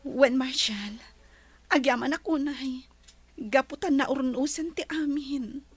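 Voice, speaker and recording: A woman's voice: high voice, slow, dry recording. A woman playing Annabel, a gracious mansion lady. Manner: gentle, gracious. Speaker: employer character. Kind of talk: radio drama acting.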